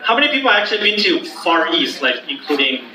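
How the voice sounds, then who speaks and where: A man's voice: fairly high pitch, very animated, fast. Upbeat man addressing a crowd. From China. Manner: upbeat, asks the room questions. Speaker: travel company owner. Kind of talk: addressing an audience. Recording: echoey room from far off, crowd behind.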